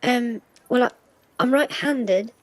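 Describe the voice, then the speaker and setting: A man's voice: extremely high pitch, takes it slowly, pitched above the performer's own voice. A man voicing a shy, awkward young boy wizard with hesitant speech in a storybook reading.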